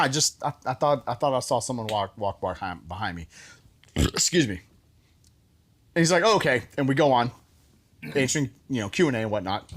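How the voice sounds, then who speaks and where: Guy hosting a casual chat, animated storyteller, medium pitch that jumps around a lot. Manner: animated, re-enacts conversations. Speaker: show host.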